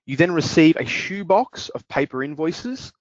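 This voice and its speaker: A man presenting a product demo on a webinar, talking in a measured, explaining way with some fillers. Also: on the high side, lively intonation, no echo. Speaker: webinar presenter, business development manager. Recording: dry dull lo-fi audio.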